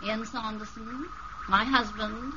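A woman's voice: a little high, fairly even intonation, slow pace. An actress playing a poised, commanding aristocratic British lady villain in a 1970s radio drama. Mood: cold, commanding. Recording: dull, noisy 1970s radio recording.

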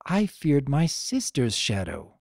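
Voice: medium pitch, wildly swooping pitch, slow, creaky, darkish tone, dry recording, studio quality, full character voice. A narrator voicing a formal, earnest female pony princess in a dramatic story reading, with archaic, stately diction. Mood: warm, wistful.

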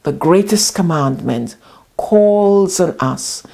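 Middle-aged woman bishop, measured unhurried sermon delivery, headset mic, small quiet room. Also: on the low side, lively intonation, creaky. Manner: slow, deliberate preaching with spread-out phrasing. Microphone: headset mic, camera facing her across a table.

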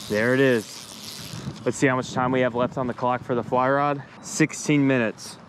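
Casual man vlogging outdoors, faint background noise. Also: medium pitch. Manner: informal, mild slang and swearing. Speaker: fishing video host. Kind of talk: casual vlog talk to viewers. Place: outdoors by a pond. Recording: noisy outdoor ambience.

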